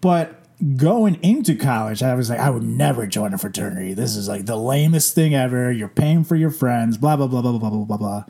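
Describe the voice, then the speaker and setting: A young man from Venezuela talks in a mellow, warm voice. He co-hosts a casual podcast chat, telling loose stories and laughing easily. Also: medium pitch, animated, brisk, vocal fry, hardly any pauses, breathy edge. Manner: joking, laughs often, loose storytelling. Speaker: podcast co-host.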